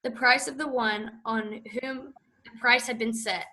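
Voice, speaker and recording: Bright woman narrating scripture. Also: fairly high pitch, steady tone, a little slow, poor audio. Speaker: narrator of a church reading. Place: church.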